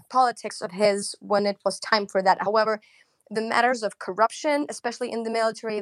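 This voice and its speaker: A woman with a Ukrainian accent, a guest in an online audio chat, speaking earnestly and deliberately and restarting sentences. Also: on the high side, a bit bright, no room sound.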